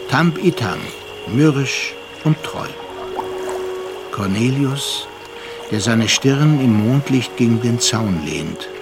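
An actor playing a reflective, worldly gentleman narrator in a literary radio drama, speaking measured standard German. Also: medium pitch, animated, over music. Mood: contemplative. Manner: measured, contemplative, deliberate phrasing.

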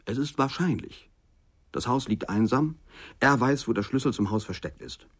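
Husky, sombre middle-aged father. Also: mid-range voice, animated, moderate pace. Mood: sombre, reflective. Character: estranged, sombre father of a runaway son. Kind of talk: German radio crime drama acting.

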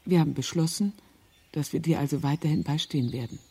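An actress playing a naive, pious and gently dogged lady in a radio crime drama, speaking in an earnest, hesitant way. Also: deep voice, quick pace, slight background noise. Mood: gentle, resolute.

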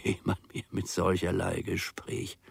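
An actor in a radio play voicing a grumbling, self-important old manservant who muses to himself theatrically in old-fashioned words, gravelly and husky. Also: male voice, low-pitched, little pitch movement, unhurried, dry recording, heavily acted. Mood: grumbling, scornful. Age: older.

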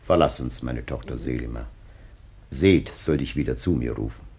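An older man acting in a radio drama as a grieving, solemn old patriarch facing death, husky and gravely declamatory. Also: rumbling low, normal speed, a little mellow, full character voice. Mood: tender sorrow.

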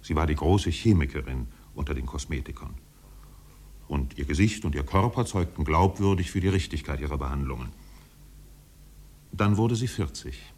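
An actor as the narrator of a 1950s German literary radio play, telling the story in a measured, precise, composed way. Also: male voice, a bass voice, expressive, darkish tone, breathy edge, heavily acted.

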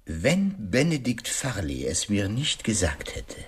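Shrewd detective with lively, swooping delivery. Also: male voice, fairly low pitch, breathy edge, heavily acted. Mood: confident, explanatory. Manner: courteous, deliberate, theatrical. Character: shrewd, self-satisfied male detective questioning suspects. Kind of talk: German radio crime drama acting.

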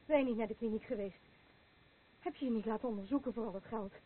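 A woman's voice: a little high, fast, slightly rough, phone-quality sound. A woman acting a worn-out, exasperated wife and carer in a Dutch radio play, fretful and nagging in manner. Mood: alarmed, exasperated. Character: worn-out, exasperated wife caring for husband and mother.

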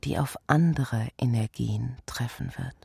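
A man performing poetic radio art, reciting in measured, declarative phrases. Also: medium pitch, vocal fry, a little mellow, slightly rough, dry recording, clean studio sound. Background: music.

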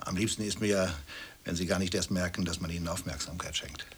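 A man's voice: deep voice, fairly even intonation, a little fast, slight background noise, lightly acted. An actor playing an effusively polite, insistent and exacting male portrait painter in a radio play. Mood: courteous, particular.

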